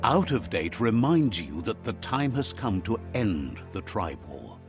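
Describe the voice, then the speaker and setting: An actor playing a wry, fond storybook narrator in a game, with a measured storyteller's delivery. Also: male voice, fairly low pitch, very animated, music in the background. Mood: wry, friendly. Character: male storybook narrator relaying creatures' words, wry and fond.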